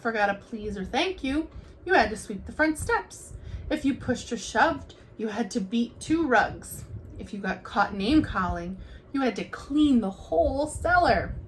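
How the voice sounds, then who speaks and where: A woman reading a children's picture book aloud like a teacher, with expressive, deliberate delivery. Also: on the high side, a little hiss or hum, rough recording.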